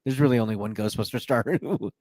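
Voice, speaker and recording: A man's voice: mid-range voice, fast, a little mellow, no room sound. A man hosting a podcast, chatting casually and joking, with frequent fillers. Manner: playful, jokey, many fillers. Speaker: podcast host. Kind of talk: casual chat.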